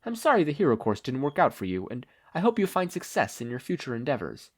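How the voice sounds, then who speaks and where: A man's voice: medium pitch, huge pitch swings, quick pace, slightly rough, pitched above the performer's own voice. A man voicing a kindly, concerned school principal with a polite, formal manner in a story reading. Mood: gentle concern.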